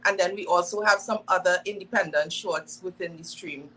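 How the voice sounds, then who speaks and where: A woman's voice: fairly low pitch, a little fast, pushed and bright, slightly rough, rough recording. A woman, co-hosting a talk show and cheerfully promoting projects. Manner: upbeat, promotional. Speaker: co-host. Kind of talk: casual chat.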